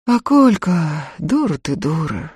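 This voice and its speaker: A woman voicing a calm young peasant woman who speaks quietly and drawls. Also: mid-range voice, moderate pace, no echo, clean studio sound, natural voice. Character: calm pale young peasant woman Ustinya, accused witch.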